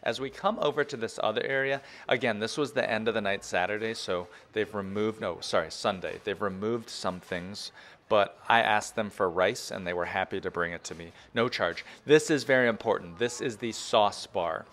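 A man's voice: lowish voice, moderate pace, a bit bright. An enthusiastic, chatty man in his thirties or forties, giving a casual guided food review as a vlogger.

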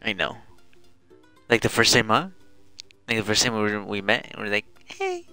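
A woman's voice: rumbling low, fairly even intonation, normal speed, bright, forceful tone, smooth, no echo, faint music behind. A woman streamer chatting casually and playfully bossing her friend around during a game stream. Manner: playful, bossy, coaching. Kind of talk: casual chat while gaming.

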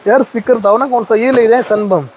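A wholesale shopkeeper, his voice quite high for a man. He talks in a relaxed, jokey way, with faint background noise behind him. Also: a little fast. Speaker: wholesale shop owner. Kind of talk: casual chat.

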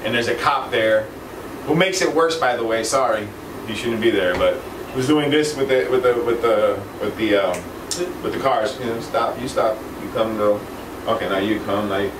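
Mid-pitched man in an echoey room. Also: lively intonation, normal speed. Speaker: air traffic controller being interviewed. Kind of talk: casual explanation in an interview. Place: small office indoors. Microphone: camera mic at a distance. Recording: echoey room, distant mic, air-conditioning noise.

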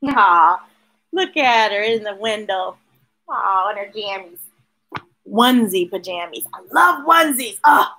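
A woman's voice: on the high side, rough recording. A middle-aged American woman hosting children's story time, reading a picture book expressively with playful, rhythmic delivery and chatty asides. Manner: expressive, playful, chanting repeated words rhythmically. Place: small indoor room with shelves of books. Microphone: webcam or device at arm's length.

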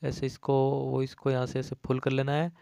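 A man's voice: mid-range voice, little pitch movement, a little fast, creaky, a little mellow, smooth, dry recording, studio quality. A man giving a casual, repetitive step-by-step app tutorial as a voice-over, addressing viewers as friends. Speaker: tech tutorial YouTube host.

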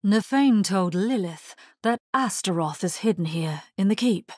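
An actress voicing a solemn, grave necromancer in a video game, with measured, formal delivery. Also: female voice, mid-range voice, expressive, darkish tone, dry recording, studio quality. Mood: serious, urgent. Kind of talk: scripted video game character voice lines.

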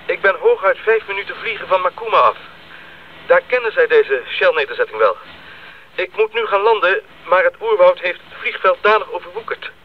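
An actor playing a young, dutiful missionary bush pilot in a radio drama, heard over an aircraft radio. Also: male voice, high voice. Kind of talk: 1980s Dutch radio drama acting. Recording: muffled crackly radio link.

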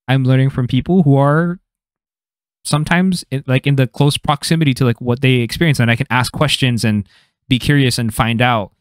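Young man, slight Filipino accent, mid-pitched voice, dry close mic, reflective chatty podcast host. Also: no room sound. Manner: reflective, loose, lots of "like" fillers. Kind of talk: casual conversation. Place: small home room. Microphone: studio mic on stand.

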